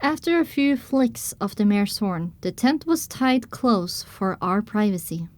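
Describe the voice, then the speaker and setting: A woman reading scripted first-person narration as the heroine of an audio drama, recounting her dark adventure. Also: mid-range voice, lively intonation, measured pace, dry recording, natural voice. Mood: somber recounting.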